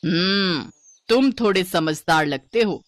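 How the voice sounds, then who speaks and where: Woman acting greedy eatery boss woman, bullying, scolding, haughty, widely swooping pitch, cartoon moral tale. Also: lively intonation, slow pace. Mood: haughty, self-pitying. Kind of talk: Hindi animated moral story.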